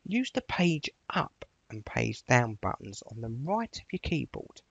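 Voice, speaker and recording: A man talks someone through software in a loose, chatty tutorial style. His voice has a noticeable vocal fry, and the close recording is dry and slightly dull. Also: mid-range voice, very animated, a little slow, no room sound. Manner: chatty, informal, rambling. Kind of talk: casual screen-share tutorial walkthrough.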